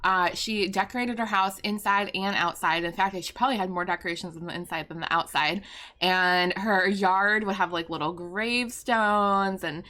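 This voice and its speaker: A young American woman, a little hoarse, chatting casually and rambling with fillers as she talks through a building video from her home setup. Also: mid-range voice, normal speed, sharp tone. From United States. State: slightly hoarse. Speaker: YouTuber and streamer. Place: home room with pastel backdrop and fairy lights.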